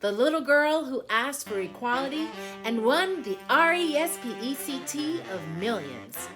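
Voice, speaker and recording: A woman with a fairly high voice reads a picture book aloud for young children. Her delivery is very lively and melodic, with dramatic, deliberate emphasis on important words. Music plays behind her. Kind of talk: expressive read-aloud storytelling for children.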